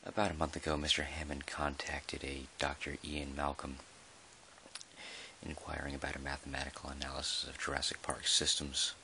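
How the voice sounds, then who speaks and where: A man playing a measured, dry park employee who dictates an official audio log in a halting, deliberate way, in ASMR roleplay style. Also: very deep voice, monotone, sharp tone, slight background noise, full character voice. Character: male dinosaur park employee, measured, dryly put-upon record keeper.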